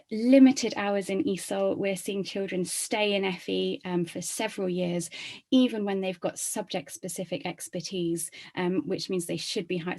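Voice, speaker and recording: A woman giving a presentation in a webinar, measured, with frequent fillers. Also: fairly low pitch, vocal fry. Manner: measured, flat delivery with fillers. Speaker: webinar speaker.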